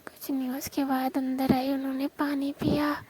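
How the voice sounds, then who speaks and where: Young woman w high soft breathy voice, kinda flat, telling intimate story, bit of noise behind. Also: moderate pace. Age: young adult. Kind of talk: intimate personal storytelling in conversation. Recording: faint background noise, amateur call-style recording.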